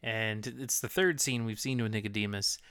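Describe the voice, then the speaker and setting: A young man co-hosting a casual movie recap podcast, wry and quick with asides, sometimes mimicking characters in mocking voices. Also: on the low side, a bit bright, clean tone, no echo, clean studio sound. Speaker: podcast co-host.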